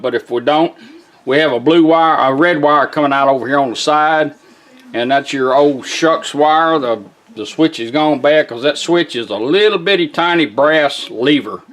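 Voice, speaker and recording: A man with a Southern US accent giving a casual, plain-spoken, unhurried product demonstration as a radio restorer. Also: a little high.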